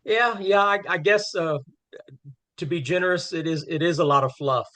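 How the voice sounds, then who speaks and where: Male host, fairly high voice, friendly, halting with fillers. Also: pushed and bright. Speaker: interview host. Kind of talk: casual interview over a video call. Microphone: video call.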